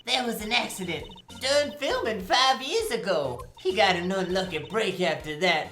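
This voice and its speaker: A woman's voice: medium pitch, lively intonation, normal speed, fairly bright tone. A woman playing a brash, vain, gossipy older female security guard in a fan-dubbed game, talking in a bossy, folksy, theatrical way. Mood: gossipy, insistent. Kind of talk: cartoonish fan-dub game acting. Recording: roomy sound with faint music behind.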